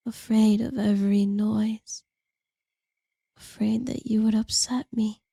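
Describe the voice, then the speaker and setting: A woman plays a seductive, possessive lamia in an intimate audio roleplay. Her voice is very soft, dark and breathy, often dropping into a whisper with a creaky edge. She lingers slowly over her words, condescending and intimate, as if to a former lover. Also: mid-range voice, no echo, clean studio sound, full character voice. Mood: tender, patronizing.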